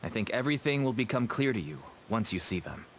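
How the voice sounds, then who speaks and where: Man as a weary, earnest father, medium-pitched. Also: big pitch swings, fast, slight background noise, lightly acted. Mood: resigned. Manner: earnest, resigned. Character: weary, pleading father turned smuggler. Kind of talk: video game voice acting. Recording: soft game music behind.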